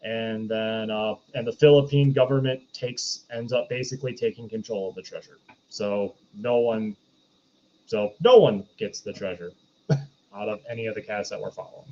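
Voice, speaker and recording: A man co-hosting a podcast, recapping a movie casually in a halting, self-correcting way. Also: fairly low pitch, warm tone, muffled recording, a little hiss or hum. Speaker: podcast co-host.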